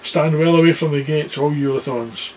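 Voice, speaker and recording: A man voicing a mighty, commanding male lion king with a booming, authoritative delivery. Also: moderate pace, a little mellow, a little hiss or hum, lightly acted, poor audio.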